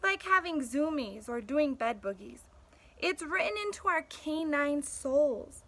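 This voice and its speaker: A woman's voice: high-pitched, animated, unhurried, fairly bright tone, voice pushed up. A woman voicing a blunt, cynical male stray dog who narrates a storybook in a sardonic, punchy way. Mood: defiant. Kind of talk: storybook first-person narration.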